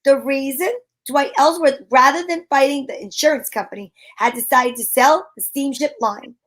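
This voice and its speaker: A woman playing a sharp-witted, wisecracking private detective in an old-time radio drama, talking in breezy slang. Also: high-pitched, moderate pace. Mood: wry, explaining.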